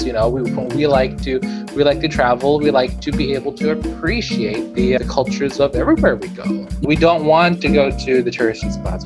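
Man guest, mid-pitched, reflective and halting, music clearly under him. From China. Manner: reflective, restarts phrases, many "you know"s. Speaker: guest, chiropractor. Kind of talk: interview conversation.

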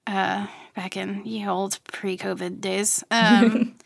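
A woman's voice: mid-range voice, level tone, takes it slowly, vocal fry, no room sound, clean studio sound. A woman hosting a podcast interview in a casual, chatty conversational style. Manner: informal, chatty. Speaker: podcast host interviewing a guest.

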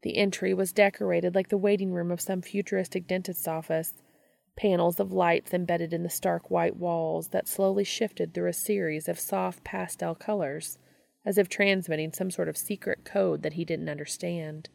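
A woman's voice: lowish voice, little pitch movement, normal speed, gravelly, darkish tone, no echo. A woman reading fiction aloud as a narrator, in scripted audiobook style. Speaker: reader of fan fiction audio episodes.

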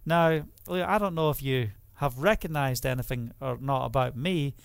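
A man's voice: mid-range voice, normal speed, gravelly, smooth, no room sound, studio quality. A middle-aged man with a Scottish accent preaching a sermon, reading scripture aloud and putting questions to the audience before answering them himself. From Scotland. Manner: reads scripture aloud then explains it.

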